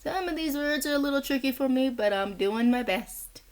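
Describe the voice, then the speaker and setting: A woman reading a bilingual children's picture book aloud as a teacher, in a deliberate, expressive way. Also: sits high. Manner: deliberate, expressive, acts out short quotes; encouraging asides and questions to young listeners.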